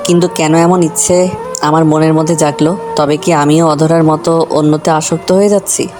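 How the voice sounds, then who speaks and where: A man's voice: fairly high pitch, level tone, brisk, over music, close to an everyday voice. A man playing a reserved, businesslike office boss with a formal, deflecting manner in an audio story. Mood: troubled, introspective. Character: reserved, businesslike married male office boss, inwardly torn. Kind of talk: Bengali audio story acting.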